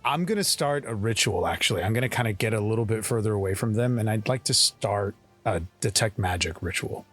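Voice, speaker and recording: A man playing a tabletop roleplaying game, describing his actions and speaking in character in a halting, earnest way. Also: lowish voice, expressive, a bit bright, faint music behind.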